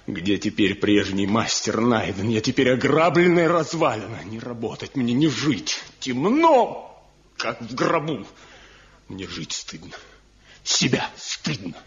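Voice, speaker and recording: Bitter wounded husband, radio play, slow emotional delivery, accusing and poetic, dulled vintage recording. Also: male voice, medium pitch, very animated. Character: tormented jealous Bulgarian village craftsman, bitter husband. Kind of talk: classic Soviet radio drama acting. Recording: muffled 1970 radio drama recording, music behind.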